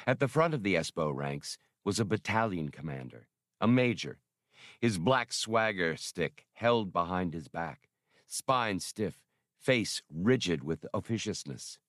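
Low-voiced man narrating, very lively intonation. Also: measured pace, no echo, clean studio sound. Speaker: audiobook narrator. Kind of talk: scripted novel narration.

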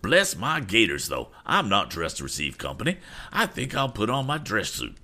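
A man performs a fussy, eccentric gentleman from an adventure storybook. He exclaims quaintly in a voice lifted above the performer's own, with animated intonation. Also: on the low side, normal speed, voice pushed up, heavily acted. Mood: flustered, fussy.

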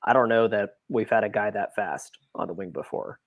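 A man's voice: on the low side, normal speed, dry recording, phone-quality sound. A man chatting casually on a sports podcast, stressing his points by repeating words emphatically. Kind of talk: casual soccer chat.

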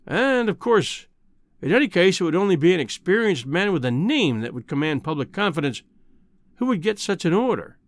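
A man voicing a kindly, crabbed old Scottish newspaper editor in a storybook reading, with a measured, avuncular manner. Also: high-pitched, sing-song, no echo, clean studio sound. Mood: kindly discouraging. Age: older.

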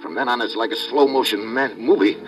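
An actor playing a tough, wry undercover diver, narrating tersely in an old-time radio adventure. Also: male voice, mid-range voice, a little fast, close to an everyday voice, poor audio. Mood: tense narration. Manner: terse, hard-boiled. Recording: vintage radio recording with music.

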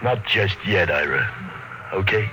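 Tough, blunt crewman, lowish voice. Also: expressive, measured pace. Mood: coldly casual. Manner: blunt, slangy. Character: tough, suspicious, brutal expedition crewman. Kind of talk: 1950s radio drama acting. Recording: noisy old radio broadcast.

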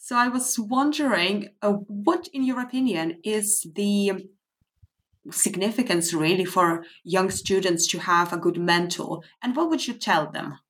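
A woman with a voice of middling pitch conducts an interview. She asks her questions plainly and directly. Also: measured pace, a bit bright.

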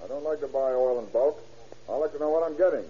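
A man's voice: fairly high pitch, quick pace, dark tone, natural voice. An actor playing a chatty male motorist who is fussy about his gasoline and oil, in 1930s radio drama style, on a dull old radio recording with faint hiss. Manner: chatty, particular.

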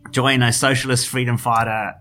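A man with a South African accent and a bright, forceful voice, talking as an interview guest. He is middle-aged and talks in a hesitant, conversational way, full of fillers and restarts. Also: mid-range voice, flat delivery, normal speed. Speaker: guest, finance journalist.

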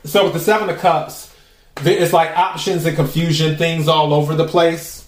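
A man with a raspy voice hosting a podcast, giving a casual, chatty tarot reading and musing aloud with drawn-out pauses. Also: fairly high pitch, steady tone, slight background noise. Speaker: tarot reader and podcast host. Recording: roomy echo.

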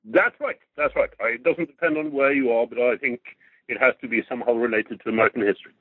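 A man's voice: medium pitch, fairly even intonation, quick pace, slightly rough, dry recording. A man in his sixties who grew up in Norway, a historian answering interview questions thoughtfully, often repeating words as he gathers his thoughts. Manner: hesitant, repeats words while thinking. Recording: dry tinny phone-like line.

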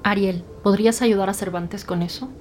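A woman's voice: mid-range voice, fairly even intonation, close to an everyday voice. Actress as commanding woman lead detective, music behind. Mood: authoritative. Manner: brisk, authoritative questioning. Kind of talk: scripted audio drama dialogue.

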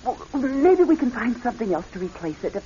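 An actress playing an affectionate, earnest wife in a radio drama. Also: female voice, a little high, big pitch swings, a little fast, warm tone. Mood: tender, grateful. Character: affectionate, pleading wife, secretly scheming. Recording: muffled, hissy old radio recording.

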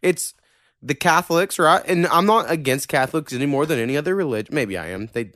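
A man's voice: a little high, expressive, a little fast, fairly bright tone. A man with a slight Oklahoma country accent hosting a podcast, rambling and swearing freely in casual chat. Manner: profane, rambling and opinionated. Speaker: podcast host.

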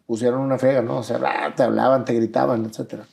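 A man's voice: mid-range voice, steady tone, fast, creaky, darkish tone. A man interviewing a guest, probing with short follow-up questions.

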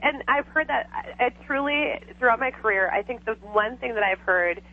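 A woman's voice: a little high, moderate pace. Chatty woman over a tinny phone line. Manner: chatty, laughs often, lots of fillers. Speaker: psychic guest. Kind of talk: casual radio interview. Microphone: telephone.

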